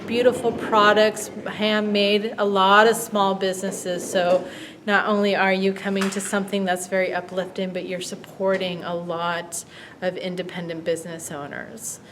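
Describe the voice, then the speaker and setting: A woman's voice: mid-range voice, flat delivery, moderate pace. A woman describing an expo's vendors and events in a promotional interview. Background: loud music.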